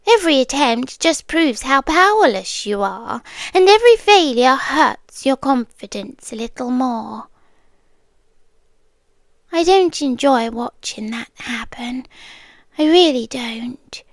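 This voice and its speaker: A British woman playing a possessive, calmly menacing yandere girlfriend in an intimate ASMR roleplay, breathy and often dropping to a whisper. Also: high voice, no echo, studio quality, full character voice. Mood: quietly threatening. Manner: slow, deliberate, breathy, drifting into whispers.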